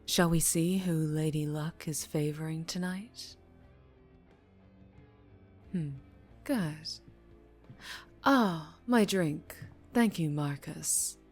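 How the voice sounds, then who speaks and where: A low-pitched female mafia boss, acted by a woman in an ASMR roleplay. She takes her time over every phrase, teasing with courteous charm and veiled menace. Faint music plays behind her. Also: exaggerated voice. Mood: teasing, probing. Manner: slow, deliberate, polite with veiled menace.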